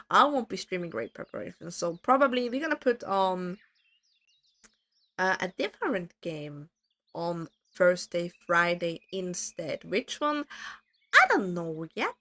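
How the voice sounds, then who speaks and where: A woman streamer chatting casually with her viewers, her voice worn hoarse from talking too much, rambling and self-deprecating. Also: mid-range voice, a bit bright.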